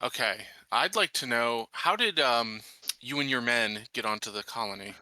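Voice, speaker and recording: A man playing a tabletop roleplaying game, speaking in measured, rather formal wording. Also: mid-range voice, animated, pushed and bright, no echo, rough recording. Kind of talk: in-character roleplay and table talk.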